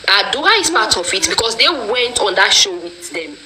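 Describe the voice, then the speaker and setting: A woman's voice: medium pitch, big pitch swings, normal speed, a crowd in the background, low-quality recording. A woman with a Nigerian accent speaking passionately and accusingly in a casual online discussion, leaning on proverbs. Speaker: discussion participant.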